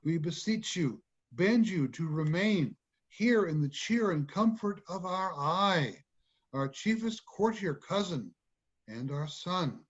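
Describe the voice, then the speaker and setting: A man playing a smooth, imperious middle-aged king in a formal, declamatory Shakespeare reading over a video call. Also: high voice, expressive, takes it slowly, heavily acted. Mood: stately, persuasive. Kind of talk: dramatic reading of Shakespearean verse. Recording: dull lo-fi video call audio.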